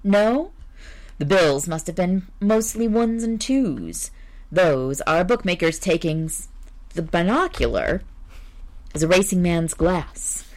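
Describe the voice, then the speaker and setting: A woman voicing a suave, calm male amateur detective who reasons things out deliberately. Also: fairly low pitch, rough recording. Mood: calm reasoning.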